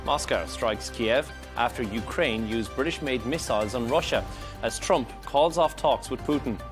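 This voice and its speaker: A man's voice: mid-range voice, normal speed. Male news anchor, mid-range voice, scripted bulletin and live interview delivery, music underneath. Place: broadcast TV studio.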